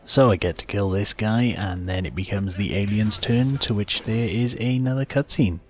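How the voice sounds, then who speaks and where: A man's voice: lowish voice, moderate pace, fairly bright tone. A man hosting a gaming video, giving plain step-by-step explanatory commentary on the gameplay. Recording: faint game music behind voice. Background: game soundtrack.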